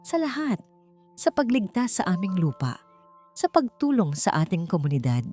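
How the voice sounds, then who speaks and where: A woman voicing an earnest young female graduate with a firm, heartfelt, measured delivery in an audiobook. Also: medium pitch, sing-song, warm tone. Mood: soft, grateful. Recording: music bed under the voice.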